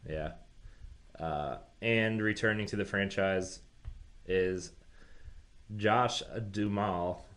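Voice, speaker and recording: A man's voice: low voice, takes it slowly, pauses often, a bit bright. A man co-hosting a podcast, chatting casually and with slangy opinions about entertainment news. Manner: slangy, opinionated, says "dude". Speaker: podcast co-host. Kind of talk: casual chat about movie and game news.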